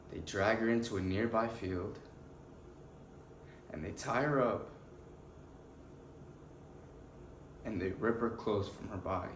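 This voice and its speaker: An actor playing an earnest, anguished defense lawyer pleading to a jury, delivering a deliberate, emotional courtroom monologue with weighted pauses. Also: male voice, lowish voice, creaky. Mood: hushed, solemn. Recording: echoey room with faint background noise.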